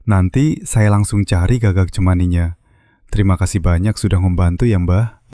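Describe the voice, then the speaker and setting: A man plays a polite, eager satay seller. His voice sits mid-pitch with a mellow, darker tone, and the recording is close and dry. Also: normal speed, dry recording, close to an everyday voice. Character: humble middle-aged male satay seller, polite, desperate. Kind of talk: animated cartoon voice acting.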